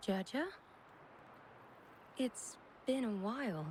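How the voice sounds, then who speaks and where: A woman's voice: on the high side, animated, slow pace, darkish tone, slight background noise. An actress playing an injured, remorseful young woman in a video game, speaking in a sincere, reflective way. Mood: warm, grateful.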